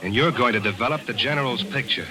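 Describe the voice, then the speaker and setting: A man's voice: medium pitch, animated, fast talker, a crowd in the background, lightly acted, low-quality recording. An actor playing a confident, wry American newspaperman, narrating and talking in a 1940s radio drama. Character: wisecracking American newspaperman on trial.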